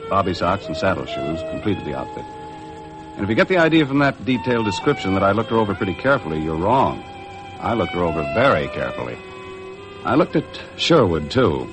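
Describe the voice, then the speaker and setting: A man's voice: low-pitched, low-quality recording. An actor playing a wry, tough American boat skipper who narrates his own adventure in a 1950s radio drama. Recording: vintage radio recording with music.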